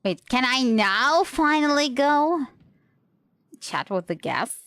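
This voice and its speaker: A woman's voice: high voice, sing-song, takes it slowly, fairly bright tone, no echo. A woman livestreaming a game in a casual way, musing aloud and chatting to the characters.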